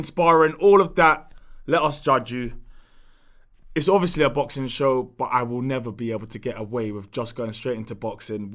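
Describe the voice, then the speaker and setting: A man's voice: mid-range voice, fairly bright tone. A man hosting a podcast, upbeat and steering a casual chat. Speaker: podcast host.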